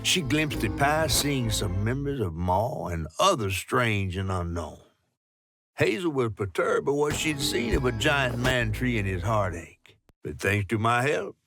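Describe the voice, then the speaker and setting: Theatrical Southern talking catfish, swooping pitch. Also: male voice, gravelly, a bit bright, exaggerated voice. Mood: storytelling. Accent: American South. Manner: theatrical, drawling, folksy storytelling. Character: folksy giant talking catfish, cheeky male storyteller, self-serving. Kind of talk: video game character acting and storybook narration.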